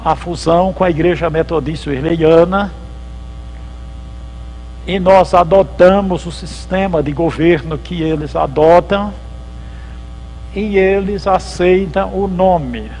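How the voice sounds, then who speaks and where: Gravelly pastor lecturing. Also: male voice, high voice, a little slow, darkish tone, slight background noise, low-quality recording. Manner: conversational storytelling, acts out dialogues. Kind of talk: church history lecture. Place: lecture hall at a theological symposium.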